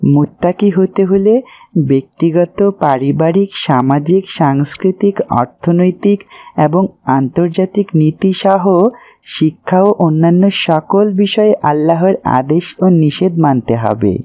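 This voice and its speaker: A devout young cartoon character voiced by an actor teaches in a slow, mellow voice. The delivery is earnest and preachy, with measured explanations. The sound is slightly dull. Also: medium pitch, natural voice. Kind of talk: scripted Islamic cartoon dialogue.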